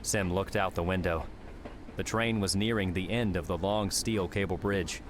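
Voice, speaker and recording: Male narrator, deep low pitch, measured storytelling, scripted audiobook fiction, clear ambient noise behind. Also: steady tone.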